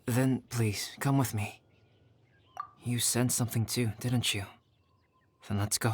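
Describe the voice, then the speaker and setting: An actor playing a calm, cerebral, enigmatic young man in a video game, speaking in measured, polite, formal phrases. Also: medium pitch, a little hiss or hum, lightly acted. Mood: composed. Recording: music playing behind the voice.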